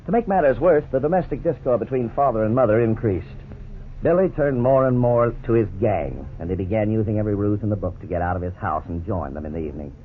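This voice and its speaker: A man narrating a 1950s radio drama in a measured, grave manner. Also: on the low side, animated. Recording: tinny old radio broadcast, faint hiss.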